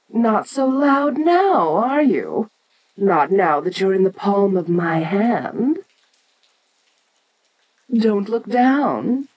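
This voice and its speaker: Woman acting as female giant monster queen, audio roleplay, domineering, haughty, condescending, unhurried delivery, very expressive intonation, medium pitch. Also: slightly rough, exaggerated voice, low-quality recording. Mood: smug. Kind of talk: scripted audio roleplay addressed to the listener.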